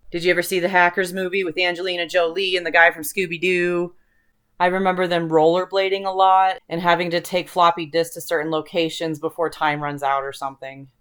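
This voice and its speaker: A woman's voice: on the low side, a bit bright, smooth. A woman hosting an online video, talking in a casual, rambling, chatty way with informal enthusiasm for what interests her. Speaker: host of an online video channel.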